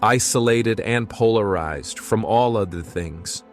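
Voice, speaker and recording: A calm, devout healer played by a man speaks low and with great deliberation. His manner is grave, earnest and philosophical, as in an audio drama, with music underneath. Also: close to an everyday voice. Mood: solemn, reflective. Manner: measured, solemn, earnest.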